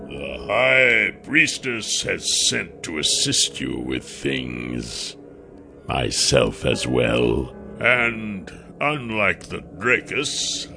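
A man voicing a huge, clawed, scaled male monster with a slow, menacing growl in an audiobook. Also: low voice, vocal fry, exaggerated voice. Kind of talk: audiobook character voice.

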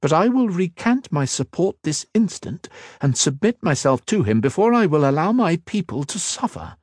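A man voicing a proud, wary queen who speaks in a haughty, cutting, measured way. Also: a little high, sing-song, no room sound, clean studio sound, pitched above the performer's own voice.

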